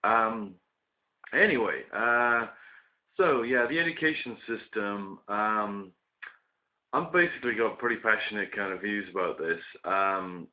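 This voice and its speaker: A man from the UK giving his opinions in a casual video monologue, hoarse from a chest infection and hesitating often with ums and restarts. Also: fairly low pitch, measured pace, lo-fi sound. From United Kingdom. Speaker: vlogger.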